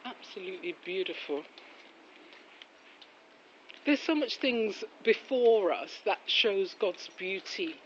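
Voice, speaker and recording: A woman's voice: mid-range voice, lively intonation, slow, long pauses. A woman in a casual walking vlog with devotional reflections, rambling and full of admiring exclamations. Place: outdoors on a residential street after rain. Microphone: handheld phone while walking. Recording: muffled, noisy outdoor street recording with traffic.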